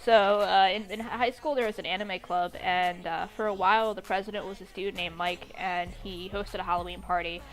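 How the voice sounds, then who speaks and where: A woman's voice: mid-range voice, steady tone, fairly quick, hardly any pauses, poor audio. A young woman casually reading and mocking fan fiction, with an irreverent, sweary, giggly manner. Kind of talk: casual commentary on a read-aloud story. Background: background music.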